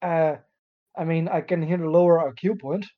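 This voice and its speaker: A man's voice: fairly high pitch, steady tone, normal speed. A man hosting a casual podcast and asking questions, profane and sardonic, recorded with a dull, lo-fi sound. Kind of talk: casual banter.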